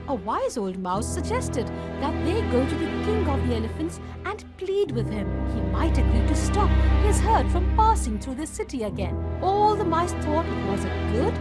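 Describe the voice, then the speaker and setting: Casting: woman narrator for kids' bedtime tales, lively intonation, expressive scripted read over music. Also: high voice. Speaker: children's story narrator.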